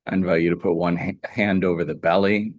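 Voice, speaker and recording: A man with a very deep, low voice leads a guided meditation. He speaks calmly and gently, leaving deliberate pauses between phrases. The sound is close and dry. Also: no room sound, muffled recording. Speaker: meditation teacher.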